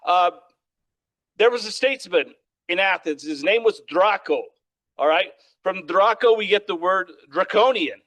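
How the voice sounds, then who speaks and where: A man lecturing on history with a loud, projecting voice, often checking in with "all right?". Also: high-pitched, animated, a little slow. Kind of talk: public lecture. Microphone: microphone.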